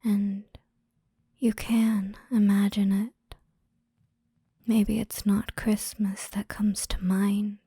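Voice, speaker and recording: A woman guiding a hypnosis relaxation in a soothing, gentle, breathy whisper, unhurried and with frequent pauses, close to the microphone. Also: medium pitch, little pitch movement, dry recording. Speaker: hypnosis guide. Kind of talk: whispered ASMR guided relaxation storytelling.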